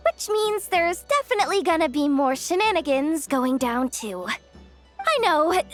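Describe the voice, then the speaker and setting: A woman's voice: very high-pitched, a bit bright, full character voice. An actress playing a brash, scheming young female expo manager in a video game, with a slangy, dramatic way of talking. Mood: cajoling, pleading. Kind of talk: animated video game voice acting. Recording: music under the voice.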